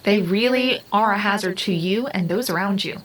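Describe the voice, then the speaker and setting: A woman's voice: medium pitch, normal speed, fairly bright tone, close to an everyday voice. A woman playing a formal, literal artificial being in an audio drama, speaking in a precise, stilted, unemotional way. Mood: calm, detached.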